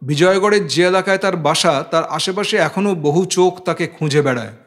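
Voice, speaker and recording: Male audiobook narrator, high register, for literary fiction. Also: normal speed, fairly bright tone. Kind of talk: literary scripted narration of a novel.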